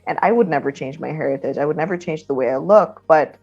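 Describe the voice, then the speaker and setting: Low-voiced young woman hosting, lo-fi. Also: fast talker, a little mellow, breathy edge, soft background music. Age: 20s. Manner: conversational, many fillers. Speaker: podcast host. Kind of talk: casual interview. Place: her own room, at home.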